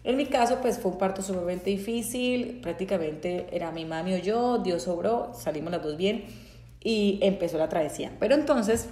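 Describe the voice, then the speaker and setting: A woman's voice: medium pitch, moderate pace, mellow, echoey room, slight background noise. A Colombian woman from Santander sharing a candid, reflective personal testimony, with frequent fillers and restarts.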